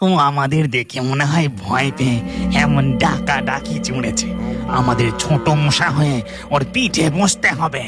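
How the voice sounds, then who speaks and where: A cautious mosquito ghost henchman, played by a man in a children's cartoon. He sounds respectful and pleading at a medium pitch, with music clearly playing behind. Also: normal speed, natural voice. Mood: reassuring.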